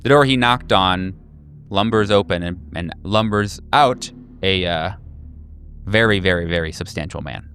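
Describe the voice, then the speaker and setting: A man narrating a horror tabletop game as game master, improvising haltingly and searching for words. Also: deep voice, fairly bright tone. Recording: music behind. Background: clear background music.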